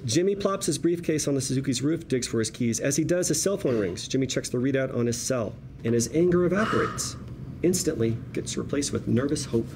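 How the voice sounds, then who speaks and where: A man's voice: mid-range voice, expressive, brisk. Wry man narrating a screenplay. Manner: wry, conversational. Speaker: stage-direction reader at a table read. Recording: noisy room ambience.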